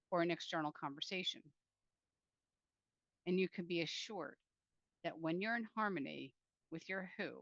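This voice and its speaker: A woman author presenting and reading from her self-help book in an earnest, deliberate way, speaking directly to the listener. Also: on the low side, a bit bright, no room sound, dull audio.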